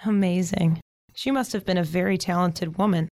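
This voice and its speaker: A woman's voice: lowish voice, fairly even intonation, moderate pace, darkish tone, no room sound, studio quality, natural voice. A woman in an audio drama playing a shy, kindly teenage girl, speaking politely and tentatively. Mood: curious, polite.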